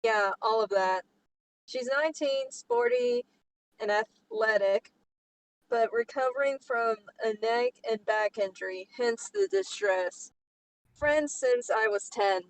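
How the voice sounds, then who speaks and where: Higher-voiced, slow-paced woman reading a story. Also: big pitch swings, creaky, rough recording. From Texas, United States. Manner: playful, self-interrupting, sarcastic. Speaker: co-host reading a story aloud. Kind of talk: casual story reading with chatty asides.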